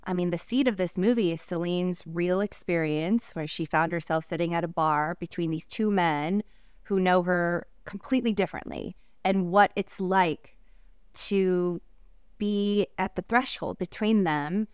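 A woman whose first language is Korean speaks in an exceptionally smooth, clean voice, recorded close and dry. She talks reflectively as an interview guest, pausing on fillers and breaking into soft laughs. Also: on the low side, no room sound. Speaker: actress interviewee.

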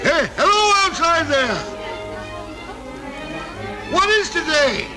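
An actor playing an excitable, stammering old miser in a vintage radio drama, with theatrical delivery. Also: male voice, very high-pitched, slow, exaggerated voice. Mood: giddy relief. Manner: theatrical, stammering exclamations and repetitions. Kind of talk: 1930s-40s radio drama acting. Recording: old radio recording with music behind.

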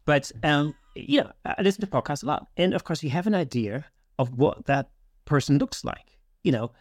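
A man's voice: fairly high pitch, expressive, moderate pace, dry recording, clean studio sound. A man speaking as a podcast host, chatting casually with a guest in an interview.

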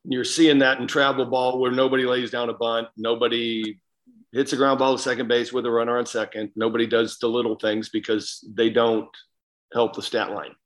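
A man with a medium-pitched voice co-hosts a casual sports chat. He sounds blunt and opinionated, talking as an ex-player would among friends. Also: level tone, moderate pace, rough recording. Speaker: podcast co-host, former player.

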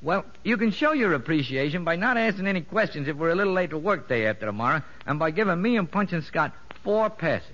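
An actor playing a shrewd, streetwise convict ringleader in a 1950s radio drama, sly and slangy. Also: male voice, fairly high pitch, very animated, fast talker, hardly any pauses, a bit bright. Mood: sly, confiding. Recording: echoey, dull vintage radio recording.

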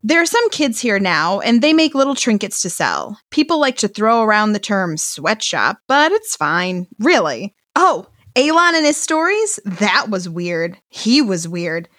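Woman playing flippant cult boss lady, deadpan, out for herself, mid pitch, dry sound, audio play. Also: unhurried, hardly any pauses, dry recording. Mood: glib, casual. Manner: glib, deadpan. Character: flippant, self-serving woman turned cult leader. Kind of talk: audio drama acting.

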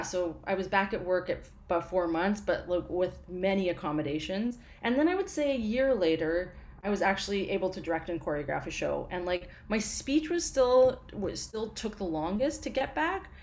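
A woman's voice: on the low side, darkish tone. Chatty woman full of fillers. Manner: halting, many fillers and restarts. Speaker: podcast guest. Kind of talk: casual interview chat.

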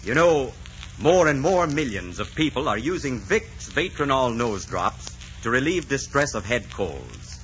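Casting: male announcer, bright forceful tone, lively emphasis, old-time radio sponsor read. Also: medium pitch, unhurried. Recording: hissy, dull 1940s radio recording.

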